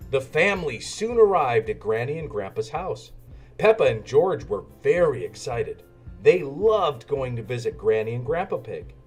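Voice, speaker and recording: A man reading a children's story aloud in an animated narrating style. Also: mid-range voice, normal speed, breathy edge. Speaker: storybook reader. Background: music.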